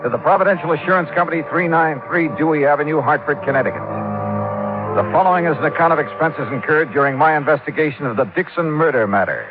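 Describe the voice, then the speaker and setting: An actor playing a hardboiled, wry American insurance investigator, narrating and talking in a clipped 1950s radio detective style. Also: male voice, a little high, quick pace, narrow, tinny audio, close to an everyday voice. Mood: wry, matter-of-fact. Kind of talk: 1950s detective radio drama acting and first-person narration. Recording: vintage band-limited radio with music behind.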